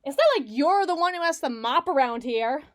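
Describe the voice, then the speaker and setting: A woman's voice: high-pitched, animated, moderate pace. A woman playing a foul-mouthed, stubborn villain in an audio roleplay, blustering and sarcastic with her partner. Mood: defiant, agitated. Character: brash, foul-mouthed, hot-tempered villain woman, gruffly soft on partner. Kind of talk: ASMR roleplay voice acting.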